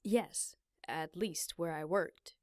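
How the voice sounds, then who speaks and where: A woman's voice: lowish voice, takes it slowly, dry recording. A woman voicing a cool, self-assured woman with dry, teasing wit in a game fan dub.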